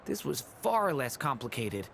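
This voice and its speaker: An actress playing a relaxed, friendly young adventurer in a video game, chatting casually. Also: female voice, rumbling low, a little slow, gravelly, slight background noise, heavily acted. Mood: content. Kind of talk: video game character dialogue.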